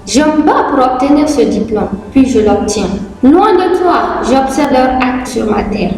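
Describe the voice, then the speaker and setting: A long, echoing reverb surrounds a young woman. Her voice is fairly high. She recites patriotic poetry solemnly, declaiming it in short, deliberate phrases. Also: expressive, a little mellow. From Guinea. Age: young adult. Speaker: poet. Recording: very echoey, long hall reverb.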